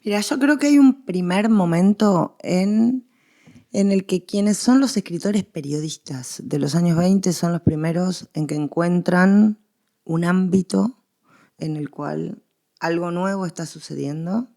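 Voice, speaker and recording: A woman's voice: lowish voice, a little slow, vocal fry, warm tone. A woman with an Argentine accent explaining things as an interviewed expert, emphatic and given to repetition. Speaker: interviewed history and literature expert.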